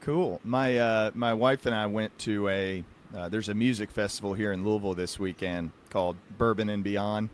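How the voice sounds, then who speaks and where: A man's voice: lowish voice, fairly even intonation, moderate pace, hardly any pauses, a little hiss or hum. An American man hosting a podcast in a casual, conversational way. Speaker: podcast host, psychiatric practitioner.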